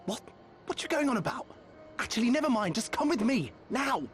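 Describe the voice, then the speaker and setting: Brash young villager boy for fantasy game; blunt, impatient, extremely animated intonation. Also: fairly high pitch, brisk, faint music behind. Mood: exasperated. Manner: blunt, flustered. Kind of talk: scripted game voice acting.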